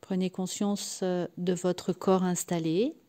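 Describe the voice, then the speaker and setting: A woman leading a guided yoga nidra relaxation in a soft, sometimes breathy voice, soothing and unhurried, leaving long pauses between short phrases. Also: lowish voice, flat delivery. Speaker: guided meditation and sophrology practitioner.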